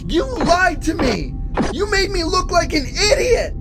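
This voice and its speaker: A woman voicing a naive, excitable and easily rattled young robot in an audio drama. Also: very high voice, unhurried, over loud music, heavily acted. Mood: hurt, furious. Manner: eager, wide-eyed, emotional.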